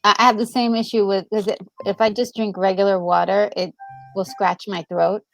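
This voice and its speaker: A woman co-hosting a gaming video, chatting casually with expressive interjections. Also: medium pitch, normal speed, vocal fry, faint music behind, rough recording. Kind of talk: casual reaction chat.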